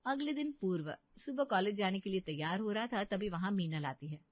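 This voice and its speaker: A woman's voice: mid-range voice, lively intonation, fairly quick, dry recording, dull audio. A woman narrating a scripted story as a storyteller.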